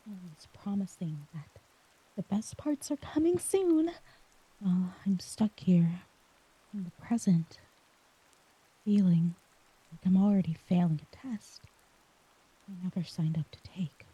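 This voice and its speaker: Breathy, hushed, weary young-sounding woman, acted. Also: lowish voice, animated, dry recording, exaggerated voice, poor audio. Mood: weary, vulnerable. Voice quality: soft, breathy, often near whisper. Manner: slow, halting, vulnerable delivery. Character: exhausted, anxious woman crushed by others' expectations. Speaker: audio roleplay performer. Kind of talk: emotional ASMR dramatic monologue.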